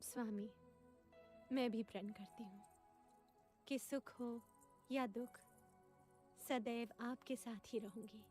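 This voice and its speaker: A woman playing a devoted, anxious young bride and princess in a mythological cartoon, soft and earnest. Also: a little high, steady tone, slow, pauses often, faint music behind.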